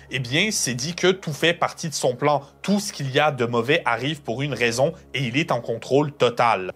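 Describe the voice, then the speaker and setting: Un homme qui livre un commentaire d'analyse sur un ton de conversation, familier et explicatif. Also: male voice, mid-range voice, fairly bright tone, slightly rough. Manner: colloquial, explanatory. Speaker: video essay host.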